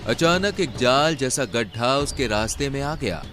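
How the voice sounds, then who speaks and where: Guy narrating a kids cartoon story, very expressive, dramatic, normal pitch. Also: a little slow, music in the background.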